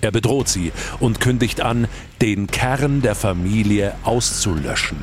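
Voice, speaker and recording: A man's voice: fairly low pitch, measured pace. A man reading scripted true-crime narration as the narrator of a podcast. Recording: music under the voice. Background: music.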